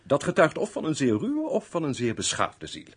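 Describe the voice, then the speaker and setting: An actor playing a courtly, wry military major in a radio drama, speaking in a formal and gallant manner. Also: male voice, on the high side, wildly swooping pitch, moderate pace, breathy edge, heavily acted. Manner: formal, gallant, muttering asides.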